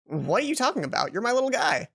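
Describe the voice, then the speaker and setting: A woman's voice: on the high side, very animated, fast, gravelly, fairly bright tone, husky, voice pushed up, heavily acted. A woman voicing a friendly bearded man in a video game, a worried robot caretaker who talks in a casual, hesitant, buddy-like way over music. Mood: bewildered. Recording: music behind the voice.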